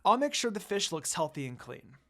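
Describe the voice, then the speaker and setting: A narrator voicing a friendly, caring young woman with a soft, reassuring and upbeat manner. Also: medium pitch, big pitch swings, brisk.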